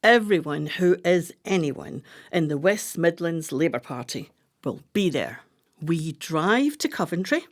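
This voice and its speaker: A woman playing a scheming older female pensioner in a radio play, speaking in a theatrical, conspiratorial way. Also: lowish voice.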